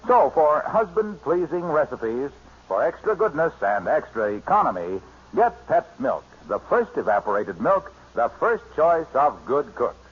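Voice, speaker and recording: Vintage American male announcer, scripted sponsor reads with lively melody and husky tone; dull 1950s broadcast sound. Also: fairly high pitch, a little slow. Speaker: radio announcer. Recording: muffled 1950s radio recording.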